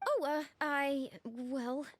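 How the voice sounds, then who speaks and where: A woman's voice: sits high, drawn-out words, no echo. A woman playing a shy, eager young rookie journalist in a video game, stammering and deferential as she gushes. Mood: flustered, grateful. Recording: music bed under the voice.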